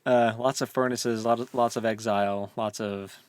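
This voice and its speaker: A man's voice: medium pitch, fairly even intonation, normal speed, smooth, no room sound. A man co-hosting a book discussion in a casual chat style, thinking aloud in a hesitant way. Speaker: podcast co-host.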